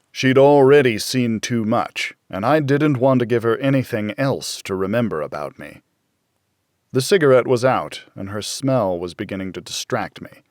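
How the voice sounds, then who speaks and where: A man's voice: mid-range voice, a little mellow, dry recording, studio quality. An American man reading scripted first-person noir thriller narration as an audiobook narrator.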